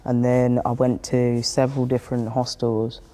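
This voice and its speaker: A man's voice: medium pitch, monotone, normal speed, dark tone, a little hiss or hum. A man with a British accent chatting casually and telling stories in a colloquial way, acting out remembered exchanges. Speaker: housing tenant interviewing another tenant. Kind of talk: casual personal storytelling and chat.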